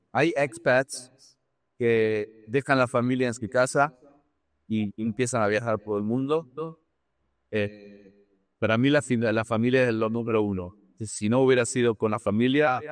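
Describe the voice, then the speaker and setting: A man's voice: on the low side, no room sound. Man answering interview questions, dry close recording. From Argentina. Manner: halting, repeats words, drops in English terms. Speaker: business executive being interviewed. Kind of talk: conversational interview answers with anecdotes.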